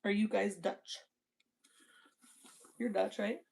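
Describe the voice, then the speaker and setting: A woman's voice: mid-range voice, fairly even intonation, slow, vocal fry, darkish tone. Woman, casual livestream co-host, playful, chatty, reads scripture aloud. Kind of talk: casual chat and Bible reading aloud.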